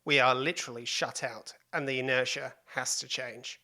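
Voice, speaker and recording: Man, very bright tense tone, gravelly creak, scripted quote. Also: medium pitch, fairly even intonation, normal speed. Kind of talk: reading a prepared quote aloud.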